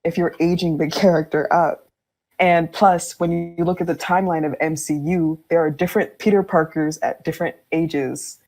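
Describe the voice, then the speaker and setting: A woman's voice: on the low side, steady tone, moderate pace, a little mellow. A woman co-hosting a casual podcast chat, candid and slangy with a wry edge.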